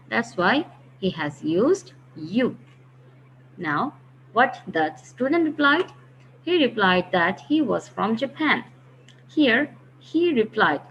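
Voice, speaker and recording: A woman teaching a grammar lesson, patiently explaining step by step and slowing down to read example sentences. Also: a little high, muffled recording, slight background noise. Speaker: English teacher.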